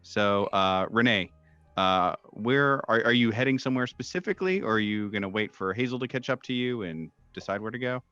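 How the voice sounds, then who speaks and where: A man narrating a tabletop horror game as game master, speaking in a halting, improvised way with many pauses. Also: fairly low pitch, bright, forceful tone, no echo, highs cut off, soft background music.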